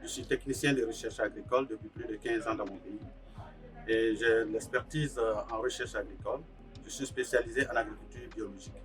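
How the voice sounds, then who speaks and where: A man's voice: fairly low pitch, fairly even intonation, brisk, a bit bright. A man from Burkina Faso, an agricultural technician giving a hesitant interview testimony. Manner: hesitant, lots of filler sounds. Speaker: agricultural technician, farm co-founder. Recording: somewhat distant mic, faint music under.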